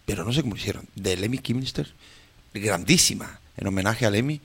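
Gravelly low-voiced male radio co-host. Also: expressive, fairly quick. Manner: laughs often, enthusiastic asides. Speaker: radio music show co-host. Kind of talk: casual radio banter.

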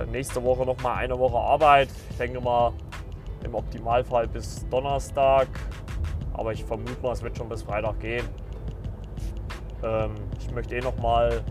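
A man in his thirties from Saxony, hosting a podcast in a casual, meandering way full of filler words and restarts. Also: mid-range voice. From Saxony, Germany. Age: 30s. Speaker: podcast host. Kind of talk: casual rambling monologue.

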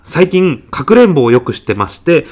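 A man's voice: a little high, sing-song, a little fast, a little mellow. A man playing a polite, hesitant, slightly evasive young child's father in a comedic radio drama. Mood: flustered, evasive. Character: mild, awkward father of a preschooler, evasive. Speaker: parent in a comedy sketch. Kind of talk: comedic short radio drama, phone meeting.

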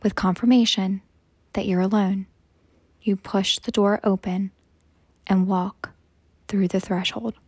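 Female meditation guide: hushed and breathy, unhurried phrases with long pauses, level delivery. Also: medium pitch, flat delivery, no echo. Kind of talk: slow guided meditation narration.

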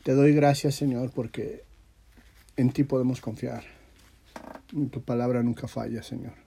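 Congested, husky man preaching, mellow voice. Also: medium pitch, steady tone. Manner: halting, repetitive, earnest. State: congested, stuffy nose, sore throat. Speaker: religious teacher leading an audio class. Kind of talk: informal sermon and prayer.

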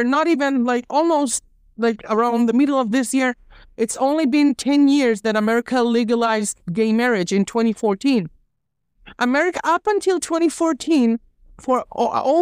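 A woman's voice: fairly high pitch, clear, resonant tone, dry recording, clean studio sound. A woman guest on a discussion podcast, speaking English as her second language. She argues emphatically and indignantly, often restarting her sentences.

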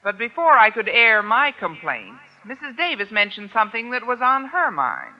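A woman's voice: fairly high pitch, very animated, moderate pace. An American actress playing a wry, sardonic single woman teacher with dry wisecracking delivery in an old radio sitcom. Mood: wry narration. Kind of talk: 1940s-50s radio sitcom acting. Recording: dull, noisy old radio recording.

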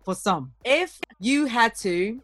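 A woman's voice: fairly high pitch, lively intonation, takes it slowly, fairly bright tone, dry recording. A woman hosting a podcast in casual chat, blunt and teasing with her guests and co-hosts. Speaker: podcast host.

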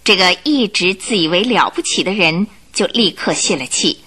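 A woman narrating a radio drama in an expressive, scripted storytelling style. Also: on the low side, normal speed, husky.

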